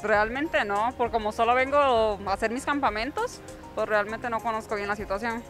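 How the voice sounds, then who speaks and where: Hesitant woman, slightly high. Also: normal speed, fairly bright tone. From Costa Rica. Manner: hesitant, many fillers. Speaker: athlete interviewee. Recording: faint music underneath.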